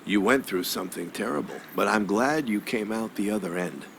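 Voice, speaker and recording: An actor playing a courtly, weary old newspaperman in a video game, speaking in a measured, avuncular way with florid phrasing. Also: fairly low pitch, vocal fry, a bit bright, slight background noise. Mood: fond, reflective.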